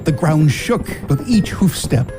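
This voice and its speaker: A man's voice: a little high, sing-song, unhurried. A man narrating a scripted children's story as the narrator of a radio drama. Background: guitar music.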